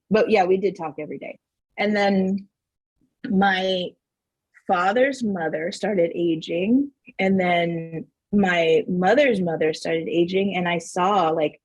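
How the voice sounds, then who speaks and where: A woman from Southern New Mexico whose first language is Spanish, telling family stories as a podcast guest in an animated way, laughing often and saying "like" a lot. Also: fairly low pitch, no echo, poor audio. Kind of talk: casual interview storytelling.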